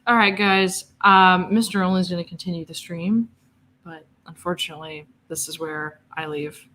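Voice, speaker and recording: A woman's voice: fairly low pitch, steady tone, moderate pace, pushed and bright, a little hiss or hum. A woman chatting casually as co-host on a livestream, hesitant and full of fillers. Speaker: co-host partner.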